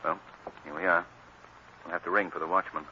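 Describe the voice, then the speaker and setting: An actor playing a courteous, composed gentleman sleuth in an old radio drama, speaking in a polite, measured way. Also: sits low, flat delivery, lo-fi sound. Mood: polite, thoughtful. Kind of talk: 1940s radio acting. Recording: hissy, band-limited old radio recording.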